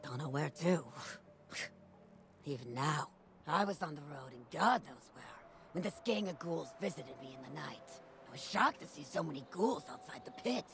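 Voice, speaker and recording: A woman's voice: low voice, lively intonation, moderate pace, a bit bright, faint music behind. An actress voicing a tough, blunt ghoul woman from Pittsburgh who survived the bombs as a factory worker, talking in a wry, plain-spoken way. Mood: somber. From Pittsburgh, USA. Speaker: game character. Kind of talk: video game dialogue.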